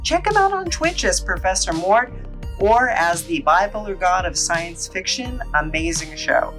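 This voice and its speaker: A bright-voiced show host reviews in a casual, playful way with tongue-in-cheek asides. The voice is very echoey and sits back from the mic, with music underneath. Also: medium pitch, big pitch swings, unhurried, distant mic.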